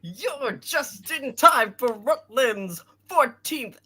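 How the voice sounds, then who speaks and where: Woman as grandiose, bright-voiced scheming parade host. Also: sits high, animated, extremely slow, slightly rough, full character voice. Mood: gushing. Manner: grandiose, gushing. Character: effusive male parade host secretly plotting villainy.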